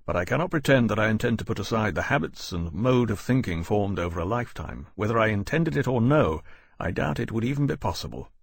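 A man's voice: lowish voice, animated, fast, gravelly, husky, dry recording, heavily acted. A man voicing a polite, principled naval officer in an audiobook, formal and restrained in his speech. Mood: controlled.

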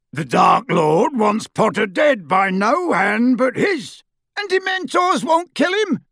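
Shrieking, high-pitched menacing dark wizard henchman. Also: gravelly, no echo, studio quality, heavily acted. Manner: yelling, drawn-out taunting calls. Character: menacing Death Eater, hooded dark wizard hunting his prey. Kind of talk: audiobook character voice.

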